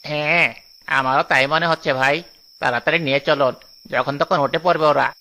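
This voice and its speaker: A man's voice: on the high side, steady tone, a little fast, sharp tone. A man voicing a bold, scheming thief's sidekick in a cartoon, conspiratorial and urging. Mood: hurried. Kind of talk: cartoon dialogue.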